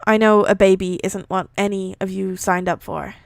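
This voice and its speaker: A woman's voice: medium pitch, steady tone, normal speed, creaky, dry recording, clean studio sound, close to an everyday voice. A woman voicing a grieving man who has become a guardian, pained and earnest, in a story reading. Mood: pained apology. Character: grieving young man, new guardian, apologetic and weary.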